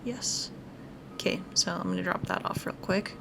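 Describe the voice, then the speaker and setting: A woman's voice: mid-range voice, normal speed, vocal fry, heavy background noise. A woman giving casual let's play commentary as the host of a gaming video. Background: music.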